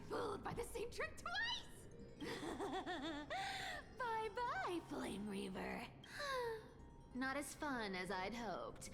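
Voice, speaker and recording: A woman's voice: extremely high pitch, wildly swooping pitch, drawn-out words, gravelly, long pauses, full character voice. A woman voicing a cocky young female thief in a video game, a defiant trickster with a taunting, theatrical delivery. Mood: mocking. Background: game music.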